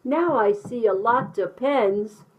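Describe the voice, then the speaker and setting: A self-important big dump truck from a children's storybook, as a woman reader puts him on. The voice is loud and blustering, pitched high, with a slightly dull recorded sound. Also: slow, a little hiss or hum. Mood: grateful. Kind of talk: storybook read-aloud.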